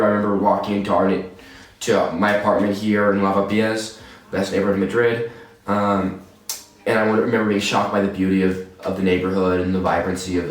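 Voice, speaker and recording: Twenty-something texan guy, lowish voice, rambly with lots of restarts, far from the mic, super echoey room, faint music under. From Texas, USA. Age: 20s. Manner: rambling, repeats and restarts words. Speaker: exchange student. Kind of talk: casual interview answers.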